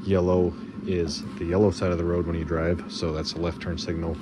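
A man's voice: low voice, brisk, noisy background. A man casually narrating his truck repair as he works in a large garage workshop, thinking aloud on a body camera. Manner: relaxed, thinking aloud while working. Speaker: vlogger restoring an old truck. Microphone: handheld or body camera.

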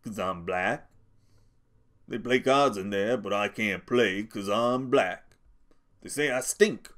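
Brief: man voicing a sharp-tongued, aloof, bitter old stable hand, curt and guarded, storybook reading. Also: lowish voice, takes it slowly. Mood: hostile. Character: proud, bitter, bent-backed older stable hand.

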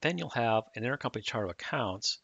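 A man calmly explaining software setup step by step in a screen-recorded tutorial. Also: mid-range voice, quick pace, gravelly, slightly rough, no echo. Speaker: software trainer. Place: at a computer, voice-over on a screen recording. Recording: dull dry mic audio.

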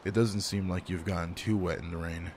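A man playing a gruff alpha male werewolf, guarded but caring, in an intimate roleplay audio drama, speaking in a slow, teasing, commanding way. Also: sits low, steady tone, darkish tone, a little hiss or hum, close to an everyday voice. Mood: brisk, caring.

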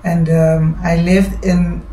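A woman's voice: on the low side, level tone, slow, warm tone. A woman in her thirties with a Dutch accent, chatting casually as the host of a vlog. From Limburg, Netherlands. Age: 30s. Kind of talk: casual chat. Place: living room of a home salon, indoors. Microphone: camera a few metres away. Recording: roomy sound with background music. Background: music.

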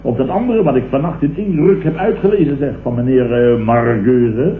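A man's voice: medium pitch, normal speed, natural voice. A Dutch man playing a pompous, indignant middle-aged businessman who sputters and declaims in a radio comedy. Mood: indignant, grandiose. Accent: Dutch, from the Netherlands. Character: blustering, pompous middle-aged businessman, opportunistically turned leftist. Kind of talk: 1960s-70s radio comedy acting. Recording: tinny, echoey, hissy old radio recording.

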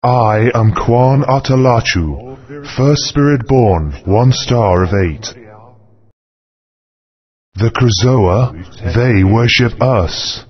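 A man's voice: medium pitch, expressive. An actor voicing an ancient, solemn male spirit god in a video game, speaking portentously, with muffled, compressed audio. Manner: slow, solemn, portentous delivery. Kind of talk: scripted video game character acting.

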